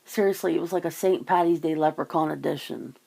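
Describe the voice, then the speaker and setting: Gravelly, creaky-voiced woman. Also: low-pitched, brisk, mellow. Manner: rambling, teasing, swears casually. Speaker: ASMR channel host. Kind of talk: casual phone chit-chat.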